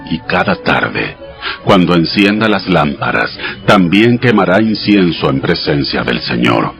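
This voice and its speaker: A man playing God as a solemn, commanding male deity, delivering measured commands in a dramatized audio scripture. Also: low-pitched, very animated, vocal fry, a bit bright, exaggerated voice. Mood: solemn, instructive. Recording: music behind the voice. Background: music.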